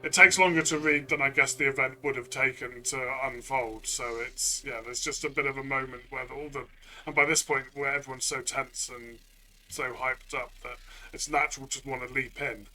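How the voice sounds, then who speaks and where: A man's voice: mid-range voice, fairly even intonation, normal speed, bright, forceful tone, a little hiss or hum, rough recording. A man co-hosting a casual review discussion from a player's side, agreeable and conversational, feeling out his phrasing. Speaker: co-host and player.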